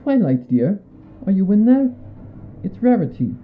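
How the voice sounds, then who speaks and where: A man's voice: high-pitched, very animated, a little slow, phone-quality sound, faint music behind, voice pushed up, heavily acted. A man voicing a refined, fussy lady pony who speaks politely and anxiously in a storybook reading.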